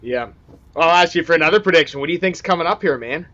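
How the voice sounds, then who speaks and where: Higher-pitched man, casual, dull recording. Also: fast talker, bright, forceful tone. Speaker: interviewer, digital marketer. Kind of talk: casual chat. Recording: dull, lo-fi sound.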